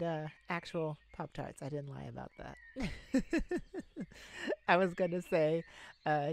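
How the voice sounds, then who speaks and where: A silly, sweet young boyfriend voiced by a woman in an ASMR romance roleplay, talking slowly and hesitantly in a lowish voice. He is gushing, bashful and giggly with his partner. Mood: gleeful. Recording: clearly noisy, ambient sounds behind.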